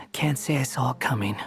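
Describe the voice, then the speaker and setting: An actor playing an aloof, sardonic young man in a video game, with a cool, smug, deliberate manner. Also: medium pitch, sharp tone, slightly rough, heavily acted. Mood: guarded, reflective.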